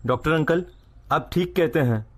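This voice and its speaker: A man's voice: a little high, normal speed, slightly rough. Male actor as young family man, patient, reassuring, matter-of-fact, cartoon dialogue. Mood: placating.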